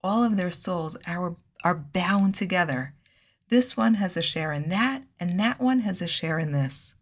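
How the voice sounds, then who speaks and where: Low, earnest woman teaching Torah. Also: animated. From United States. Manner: measured, earnest, with Hebrew and Yiddish-style terms. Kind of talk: scripted inspirational lesson.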